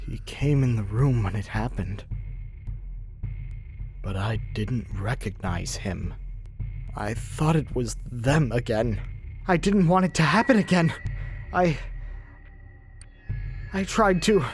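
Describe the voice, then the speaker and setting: Man playing a troubled young skeleton monster, guilt-ridden, slow halting delivery, brooding, comic dub, music behind. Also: medium pitch. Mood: anguished. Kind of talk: amateur comic dub voice acting. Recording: music bed under the voice.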